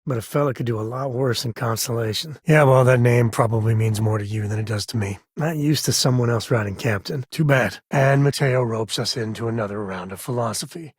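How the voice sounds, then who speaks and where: A husky-voiced male space explorer for a video game, wry and good-hearted. He talks in a casual, folksy way with dry sarcasm, at a middling pitch. The recording is close and dry, with no room sound. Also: fairly quick, hardly any pauses, no room sound. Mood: wry, friendly. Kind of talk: video game character voice lines.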